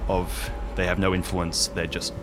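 A man playing a blunt, probing confidant in an improvised audio drama, speaking plainly and haltingly. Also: low voice, monotone, noisy background, lightly acted. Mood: grave. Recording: music under the voice.

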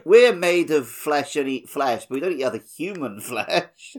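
Animated man in casual podcast chat. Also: fairly high pitch, normal speed. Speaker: podcast co-host.

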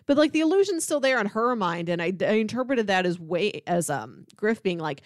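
A woman's voice: medium pitch, big pitch swings, a little fast, no echo, clean studio sound. A woman co-hosting a podcast, casually analysing a film in an analytical way, with emphatic asides and paraphrases. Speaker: podcast co-host discussing a film.

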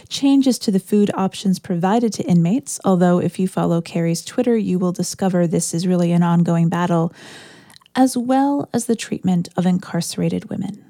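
A woman's voice: fairly low pitch, dark tone, no echo, studio quality. A woman, a minister hosting a podcast, giving a scripted introduction with casual asides. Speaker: podcast host and church minister.

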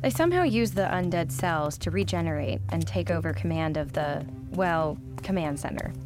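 A cocky young woman engineer in a sci-fi zombie audio drama. Her voice sits fairly low, and she explains things briskly with flippant wisecracks. Music plays clearly behind her. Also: animated. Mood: matter-of-fact, teasing.

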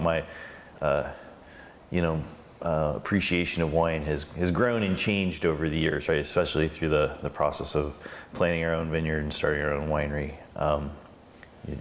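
A man talking in an oral history interview, relaxed and hesitant with many fillers. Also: rumbling low. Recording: long hall reverb, faint background noise.

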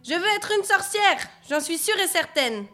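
A woman's voice: very high voice, lively intonation, normal speed, sharp tone, voice pushed up, full character voice. A woman voicing a headstrong, insistent young fairy girl in a storybook. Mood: stubborn. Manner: insistent, stubborn.

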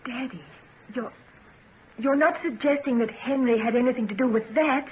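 An actress playing a loyal, loving young fiancée in a 1940s radio drama, with an earnest, emotional delivery. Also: female voice, high voice, normal speed, breathy edge. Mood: shocked disbelief. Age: young adult. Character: loyal, loving young woman engaged to be married. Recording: dull, noisy 1940s radio recording.

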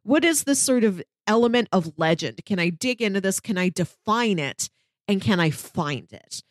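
A woman's voice: mid-range voice, animated, normal speed, dry recording. A woman podcast co-host with a mid-range voice in a dry, close recording. She talks in a casual, chatty review style, eager and enthusiastic, wandering through her thoughts as she makes recommendations. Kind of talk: casual review chat, recommending audio dramas.

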